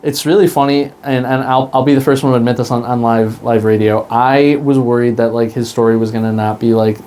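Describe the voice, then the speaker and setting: A man chatting casually as a podcast creator in an interview, halting and informal, with some self-deprecation. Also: mid-range voice, steady tone, warm tone.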